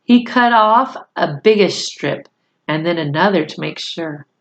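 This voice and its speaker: A woman reading a children's novel aloud in a deliberate, measured storytelling manner. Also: on the low side, expressive. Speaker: storybook reader.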